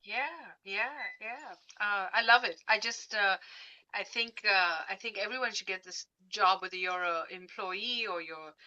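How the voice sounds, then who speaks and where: A woman hosting a casual interview, speaking conversationally and a bit haltingly, repeating words as she goes. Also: medium pitch, pushed and bright, highs cut off. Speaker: interview host.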